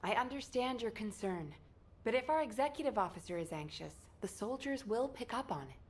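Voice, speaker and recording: An actress playing a composed female squad leader who gives firm, measured commands. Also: a little high, animated, natural voice. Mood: commanding.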